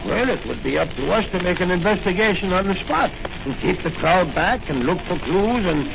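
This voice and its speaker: A muffled, noisy old radio serial recording of a pompous veteran cop. His voice sits high and has a gravelly creak. He talks with self-important bluster. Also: lively intonation, moderate pace. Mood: boastful. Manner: pompous, stumbles over long words. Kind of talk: 1940s radio serial acting.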